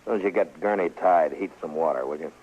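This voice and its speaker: An actor playing a calm, commanding, humane frontier marshal with an American accent and laconic delivery in a radio western. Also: male voice, sits low, fast, close to an everyday voice. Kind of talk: old time radio western acting. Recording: muffled, hissy vintage radio recording.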